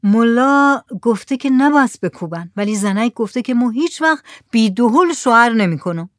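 A woman's voice: a little high, animated, no echo, studio quality, voice pushed up, natural voice. A woman reading the lines of a poor, resigned village drummer.

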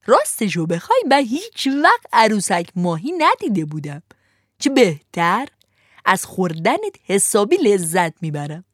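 A woman putting on a greedy ogre-like fisherman, who savors every word slowly and mockingly, his voice swinging widely up and down. It is a dry, close storybook reading. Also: medium pitch, very animated, no echo. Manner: slow, relishing, mocking.

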